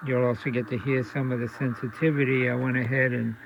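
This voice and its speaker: A man giving a casual, halting demonstration as a repair hobbyist, thinking aloud as he goes. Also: mid-range voice, creaky. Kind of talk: casual demo narration. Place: indoors, basement. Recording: dull audio with steady background noise.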